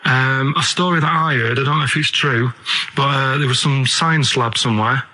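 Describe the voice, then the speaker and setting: A male radio co-host with a bright, forward voice. He chats casually and rambles along, sounding earnest and puzzled as he poses naive questions. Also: medium pitch, steady tone, normal speed, poor audio.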